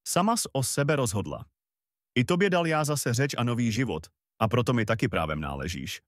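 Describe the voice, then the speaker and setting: A man's voice: mid-range voice, sing-song, no room sound, clean studio sound, close to an everyday voice. A man voicing a clever, self-assured young journeyman in a storybook fairy tale. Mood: assertive. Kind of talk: storybook voice acting.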